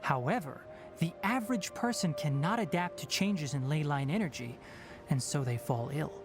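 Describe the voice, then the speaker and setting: A man's voice: a little high, lively intonation, moderate pace, natural voice. An actor voicing a young, fox-eared male forest ranger in a video game, who talks in a precise, explanatory way. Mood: explaining. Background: game music.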